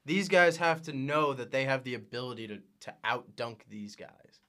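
A man's voice: medium pitch, lively intonation, moderate pace, pushed and bright. A young man giving emphatic, opinionated takes as a guest on a casual sports podcast.

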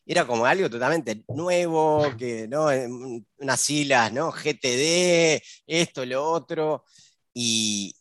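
Male Uruguayan consultant guest, mid-pitched, relaxed chat with many fillers, dry mic. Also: takes it slowly, no echo. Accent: Uruguayan Spanish. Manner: chatty, with frequent fillers and tag questions. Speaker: guest, agile business consultant. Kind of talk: casual conversational interview.

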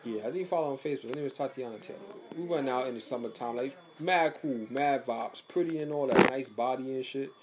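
A man's voice: fast, hardly any pauses, background noise. A man in his thirties in casual chat as a podcast co-host, talking in loose slangy bursts. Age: 30s. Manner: laid-back slangy talk, lively pitch swings.

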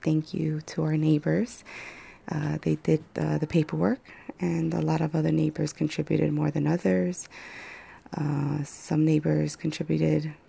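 Hoarse, gravelly woman on a phone mic. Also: low voice, level tone, measured pace, mellow. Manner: rambling, hesitant, many fillers. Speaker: podcast host. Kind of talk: casual solo podcast chat.

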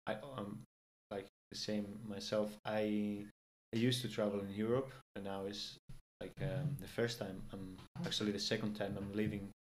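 A young Greek man speaking English as a second language, relaxed and hesitant, with frequent fillers and restarts. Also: on the low side, moderate pace, hardly any pauses, a little hiss or hum. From Greece. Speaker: guest. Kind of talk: casual interview in English.

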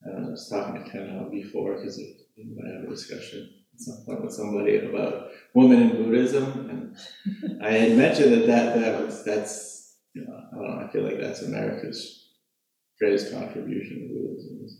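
A man sharing in a group circle, halting and earnest with many pauses and fillers. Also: fairly low pitch, animated, vocal fry, warm tone. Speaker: sangha member sharing. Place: meditation hall. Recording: very echoey hall.

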